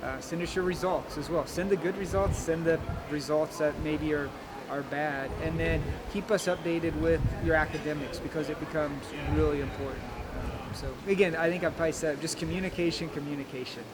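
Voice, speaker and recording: A man's voice: a little high, steady tone, brisk. Man coaching, noisy outdoor street. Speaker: college golf coach. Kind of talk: interview answers.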